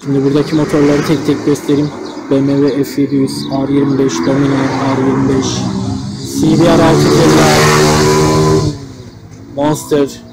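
A man's voice: mid-range voice, level tone, moderate pace. A man giving casual motorcycle vlog commentary as the host, recorded on an action camera. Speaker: motovlogger, host. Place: outdoors among parked motorcycles and on the road. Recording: noisy action camera recording, street and traffic.

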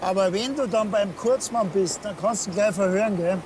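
A man's voice: high-pitched, brisk, lightly acted. An actor playing a sardonic, unimpressed policeman with a Bavarian accent in a TV crime comedy. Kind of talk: comedy crime series acting. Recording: outdoor street and vehicle noise.

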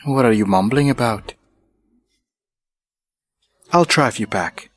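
A man's voice: mid-range voice, expressive, slow pace, a bit bright, slightly rough, heavily acted. A man acting a commanding, aloof company boss in a dubbed romantic drama, speaking in a curt, imperious way. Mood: stern, curt.

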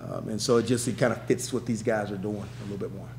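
A middle-aged man answering questions as a head coach at a press conference, with quick, emphatic answers and lots of restarts, speaking into a table mic in a press room. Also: lowish voice, background noise. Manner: rapid, repetitive restarts, emphatic.

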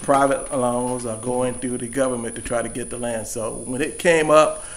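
A man answering interview questions about his farm, speaking in a measured, explanatory way with frequent restarts. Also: mid-range voice, steady tone, a little hiss or hum. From Louisiana. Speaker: farmer.

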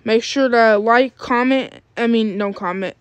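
Slow, high-voiced female tutorial narrator. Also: clean tone, dry recording. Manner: halting, searching for words. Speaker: tutorial creator. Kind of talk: step-by-step screen tutorial.

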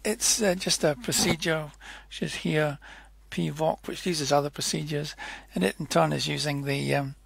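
A man with a slightly high, husky voice talks through a software demonstration. His delivery is halting and unscripted, with self-corrections along the way. The sound is dry and close. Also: steady tone, no room sound. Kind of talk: informal technical tutorial narration.

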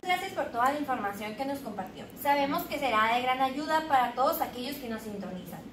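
A woman's voice: high-pitched, lively intonation, a little fast. A young woman hosting a scripted mock interview, asking formal questions. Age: young adult. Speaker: interviewer, show host. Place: small bare indoor room with tiled floor. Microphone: far camera. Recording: echoey room, distant mic, background hiss.

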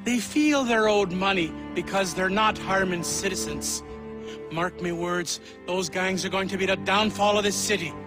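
An actor playing a gruff, blunt older innkeeper in a video game. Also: male voice, high-pitched, moderate pace, close to an everyday voice. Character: gruff old male Irish innkeeper, blunt. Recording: game audio with music behind.

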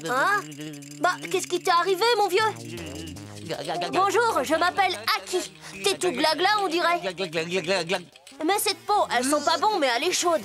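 Piping, childlike young storyteller. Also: female voice, very high voice, normal speed, long pauses. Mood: friendly, apologetic.

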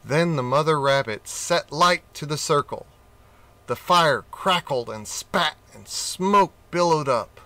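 A man reading a children's picture book aloud, slowly and expressively. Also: fairly high pitch, no room sound. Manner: slow, expressive reading with character voices. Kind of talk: storybook read-aloud.

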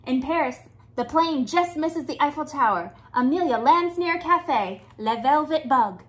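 A woman in her thirties reading a picture book aloud to young children with expressive, deliberate phrasing. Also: high-pitched. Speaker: children's storytime reader. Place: small indoor room with bookshelves.

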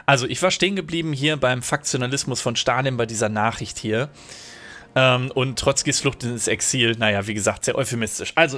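A man's voice: mid-range voice, pushed and bright. A German-speaking man commentating a strategy game at his desk, explaining mechanics thoughtfully with many fillers while recovering from a cold. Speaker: let's play host.

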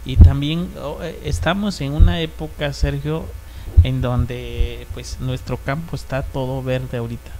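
A man's voice: medium pitch, steady tone, measured pace, dark tone, faint music behind, poor audio. A man in his thirties from Oaxaca with a Mexican Spanish accent, a native Chinantec speaker, talking reflectively as a studio guest, often dropping tag questions and fillers, into a handheld mic in a small studio. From San Felipe Usila, Oaxaca, Mexico. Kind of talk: casual talk show conversation. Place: small decorated indoor studio.

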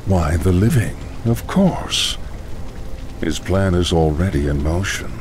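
A man's voice: sits low, animated, takes it slowly, noisy background. An actor voicing a sinister older male necromancer in a fantasy game, grave, mocking and ominous, speaking with condescension. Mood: smug, ominous. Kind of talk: dramatic fantasy game acting.

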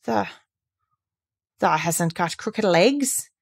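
A woman doing a gruff old Yorkshire gardener. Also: medium pitch, lively intonation, measured pace. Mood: shaken. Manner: blunt dialect, shaky and hoarse.

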